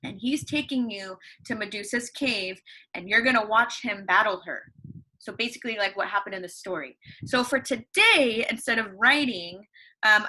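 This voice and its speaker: A woman's voice: on the high side, bright, forceful tone, poor audio. A young woman teaching young students from home over her computer, upbeat, asking questions and answering them herself. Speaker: elementary school teacher. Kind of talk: read-along lesson for young students at home. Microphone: computer microphone.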